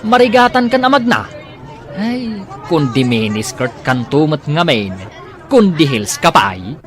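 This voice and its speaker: Animated, nagging Ilocano man. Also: a little high, measured pace, some chatter behind. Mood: nagging, impatient. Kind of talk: Ilocano comedy radio drama acting.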